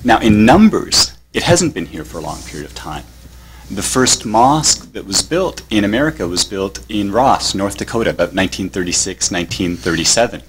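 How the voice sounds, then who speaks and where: A man with a Canadian accent giving a measured academic conference talk, with pauses. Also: on the low side, expressive, low-quality recording. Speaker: panelist. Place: conference hall.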